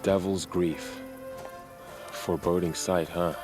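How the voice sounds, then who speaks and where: Low-voiced loyal young warrior. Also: male voice, steady tone, takes it slowly. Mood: quiet. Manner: earnest, respectful. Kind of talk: dramatic video game acting. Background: music.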